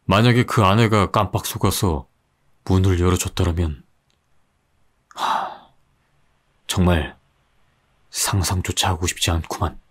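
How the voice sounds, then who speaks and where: A man doing a gruff, weary veteran detective. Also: low-pitched, fairly even intonation, a little slow, pauses often. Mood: grim, appalled.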